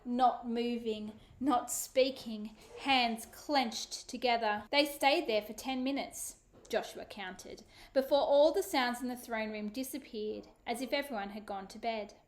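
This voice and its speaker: Expressive woman reading a storybook. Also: on the high side, a little slow. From Australia. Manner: expressive, animated narration. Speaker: children's author.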